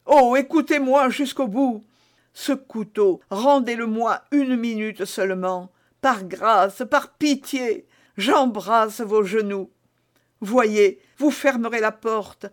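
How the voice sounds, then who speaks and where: A man voicing a scheming noblewoman, lifted high. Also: slow. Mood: pleading. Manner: breathless impassioned pleading, swinging to sly asides. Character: scheming imprisoned noblewoman, pleading and manipulative.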